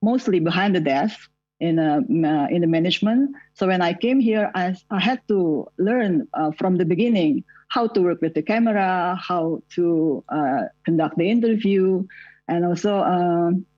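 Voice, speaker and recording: Indonesian lady talking english, lots of uhs and pauses, lowish voice, dull call audio. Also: mellow, no echo. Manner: hesitant, frequent "uh" pauses. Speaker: journalist.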